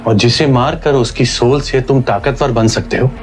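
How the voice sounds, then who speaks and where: Actor as a kindly older scientist uncle. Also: male voice, medium pitch, fairly even intonation, moderate pace, soft background music, close to an everyday voice. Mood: grave advice. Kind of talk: Hindi audio drama acting.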